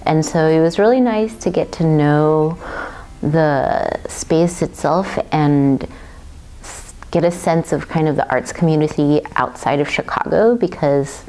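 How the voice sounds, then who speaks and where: A woman artist from Taiwan talking about her work in a thoughtful, unhurried way, with many fillers and restarts. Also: low-pitched, mellow, poor audio. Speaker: visual artist.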